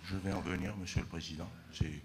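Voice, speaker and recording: A man working as a defense lawyer, questioning a witness in court in a formal, courteous and measured way. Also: low voice, steady tone, long reverb tail, slight background noise. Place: large courtroom.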